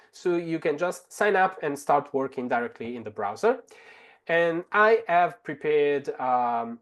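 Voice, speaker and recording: A man from Italy, a native Italian speaker with an Italian accent, presents a live software demonstration in a halting, explanatory way. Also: a little high. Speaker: webinar presenter, translator.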